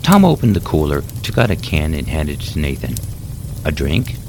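A man reads his own horror story aloud, measured and dramatic. His voice is extremely deep yet moves through lively rises and falls. A steady noisy hiss sits behind the reading. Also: gravelly. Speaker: author and narrator.

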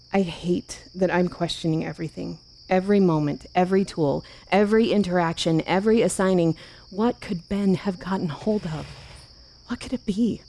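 An actress playing a composed but anxious, caring leader in a fiction podcast, speaking in a measured, earnest way. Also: female voice, lowish voice, mellow, a little hiss or hum, natural voice. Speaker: leader of a survivors' haven. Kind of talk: naturalistic fiction podcast acting.

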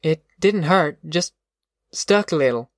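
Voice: medium pitch, expressive, slow pace, no echo. Anxious young rural woman for a storybook, acted by a narrator: timid, plain-spoken, dry recording. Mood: wondering.

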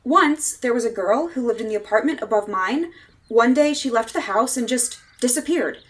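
A woman's voice: fairly high pitch, animated, normal speed, natural voice. An actress playing a frightened, resigned woman lost in time, in the dramatic style of old-time radio horror. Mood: grave, resigned.

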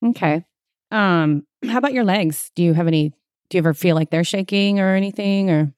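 A woman's voice: on the low side, a little fast, darkish tone, smooth, no echo, studio quality. A woman physical therapist hosting a casual interview, chatty and encouraging, often laughing. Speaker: physical therapist and podcast host.

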